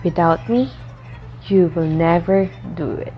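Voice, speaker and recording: A woman playing an ambitious, ruthless noblewoman in a radio drama, deliberate and commanding in delivery. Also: lowish voice, lo-fi sound, soft background music. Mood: goading, coaxing. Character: Lady Macbeth, ambitious, ruthless scheming noblewoman wife.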